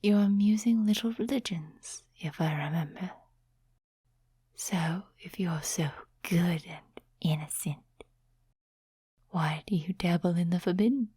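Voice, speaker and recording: Woman acting a breathy, whispering ancient demon temptress. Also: on the low side, no room sound, studio quality. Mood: bored, wry. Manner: slow, teasing, soft-spoken whispering. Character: ancient seductive demon, mocking, amused, condescending to mortals. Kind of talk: whispered ASMR roleplay. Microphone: close, dry microphone.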